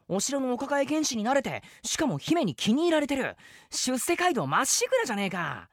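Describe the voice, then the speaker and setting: A woman playing a carefree, flippant young male wanderer with rough boyish talk in a radio drama. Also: sits high, sing-song, a little fast, no room sound, clean studio sound, full character voice. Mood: urging, casual. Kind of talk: animated radio drama acting.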